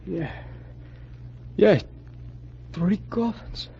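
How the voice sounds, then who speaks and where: An actor playing a brash, scheming murderer with a gruff, slangy, blustering manner in 1940s radio drama style. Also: male voice, high voice, drawn-out words, dark tone, exaggerated voice. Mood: shaken disbelief. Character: brash, scheming male murderer, tough and dismissive. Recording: muffled, noisy old-time radio recording.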